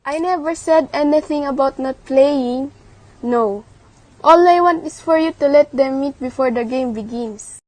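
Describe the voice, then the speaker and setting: A woman's voice: very high-pitched, animated, a little slow, darkish tone, dry recording, low-quality recording. A woman playing Life, a tender-hearted, hopeful figure with an earnest, pleading delivery in a radio drama. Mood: wounded, insistent.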